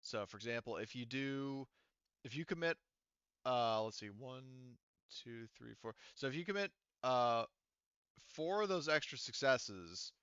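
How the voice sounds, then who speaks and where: A man's voice: mid-range voice, fairly bright tone, clean tone, no room sound, lo-fi sound. A man acting as game master of a tabletop roleplaying game, thinking aloud hesitantly as he works through the rules.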